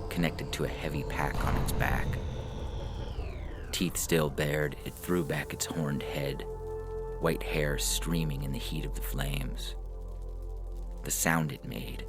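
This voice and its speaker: Very deep-voiced man narrating fiction. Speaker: story narrator. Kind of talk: scripted dramatic fiction narration. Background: music.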